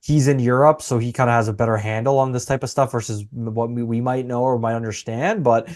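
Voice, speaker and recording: A man's voice: medium pitch, steady tone, quick pace, a little mellow. Easygoing Canadian man, middle voice. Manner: easygoing, reads stats aloud. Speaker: podcast co-host. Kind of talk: casual sports podcast chat.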